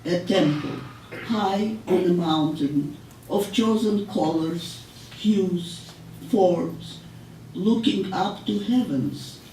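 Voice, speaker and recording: An older woman reading her poetry aloud in a measured, solemn way with deliberate pauses. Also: lowish voice, dark tone. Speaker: poet. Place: public library room, live reading. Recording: echoey room, distant mic, noisy.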